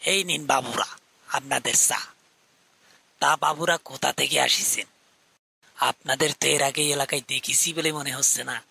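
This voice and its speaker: A man's voice: medium pitch, fairly even intonation, moderate pace, vocal fry, long pauses, sharp tone, husky, no room sound, full character voice. A man voicing a curious, deferential village tea-stall keeper with a folksy manner in a cartoon. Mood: folksy, knowing.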